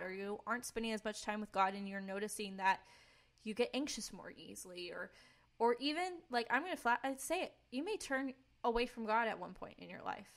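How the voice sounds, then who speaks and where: Young woman, bright, commanding voice. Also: a little high. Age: young adult. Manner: talkative, informal, emphatic. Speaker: podcast co-host. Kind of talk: casual personal testimony and chat.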